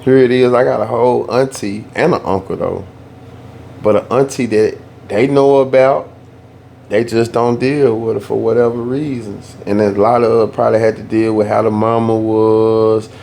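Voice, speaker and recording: A young man from the Southern US telling a family story in a laid-back, slangy way that turns animated when he gets worked up. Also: on the low side, normal speed, gravelly, a little hiss or hum. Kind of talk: casual storytime.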